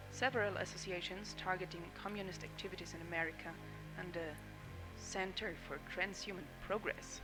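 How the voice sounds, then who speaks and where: An actress playing a cool, ambitious young insurance fraud chief, narrating a game intro in a cynical, matter-of-fact way over music. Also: female voice, lowish voice, natural voice, rough recording. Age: young adult.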